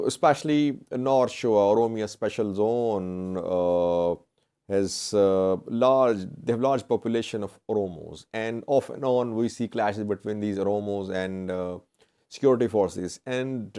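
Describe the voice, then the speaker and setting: A man presenting news commentary to viewers in halting, deliberate phrasing, often correcting himself. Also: medium pitch, dark tone, clean tone. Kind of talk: semi-scripted news commentary.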